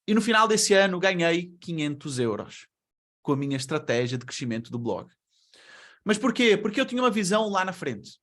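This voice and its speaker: A man's voice: sits high, a bit bright. A man with a European Portuguese accent, born in Brazil, giving a webinar talk in a conversational way and asking rhetorical questions. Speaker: webinar speaker. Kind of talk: presentation to an online audience.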